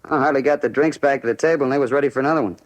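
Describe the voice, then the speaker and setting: An American actor playing a talkative, eager bar owner full of street slang in a 1950s radio crime drama. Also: male voice, medium pitch, rapid-fire, close to an everyday voice, rough recording. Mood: confiding.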